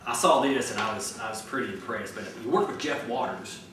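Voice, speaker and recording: A man's voice: medium pitch, fairly quick. A man with a Tennessee accent interviewing a musician, asking long, chatty, wandering questions. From small town in Tennessee. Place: outdoor festival grounds. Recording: echoey and distant, faint noise.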